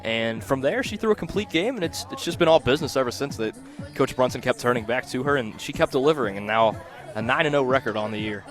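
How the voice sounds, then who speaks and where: A man giving conversational color commentary and analysis on a radio softball broadcast. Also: mid-range voice, lively intonation, quick pace, soft background music.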